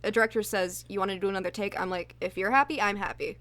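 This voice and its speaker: Female, middle pitch, actress as interview guest, casual conversational delivery. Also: fast talker, fairly bright tone, no room sound.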